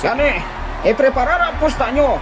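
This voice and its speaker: A man playing a boastful gang boss in an audio drama, confident and deliberate in delivery. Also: very high voice, expressive, rough recording. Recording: voice under prominent music.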